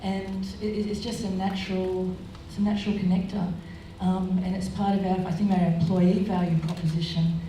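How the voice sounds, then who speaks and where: A woman executive speaks in measured, corporate phrasing with a mellow, slightly low voice. She is picked up from a distance in a very echoey room. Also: level tone, a little hiss or hum. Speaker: bank executive on a panel. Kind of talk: panel discussion answers. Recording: echoey hall, distant mic.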